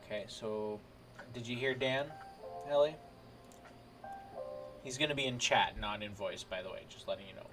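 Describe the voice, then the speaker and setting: A man's voice: fairly low pitch, normal speed, pauses often. A man chatting casually as a game master while running a sound check for an online stream. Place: home voice chat without headphones. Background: background music.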